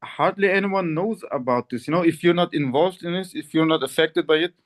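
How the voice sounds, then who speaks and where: Guy on a podcast, kinda high voice, rambles with lots of likes and you knows. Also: a little fast. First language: Turkish. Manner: rambling, many fillers and restarts, laughs often. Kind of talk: casual chat.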